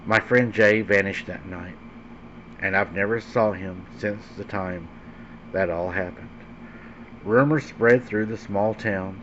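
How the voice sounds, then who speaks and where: A man narrating a scary story as host, in a dramatic, suspenseful way with deliberate, emphatic phrasing. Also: sits low, a bit bright. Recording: dull lo-fi sound with faint background hum.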